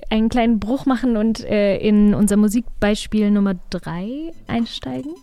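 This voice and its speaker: A woman's voice: medium pitch, moderate pace, warm tone, faint music behind. A woman interviewing conversationally, feeling her way somewhat hesitantly through what she wants to say.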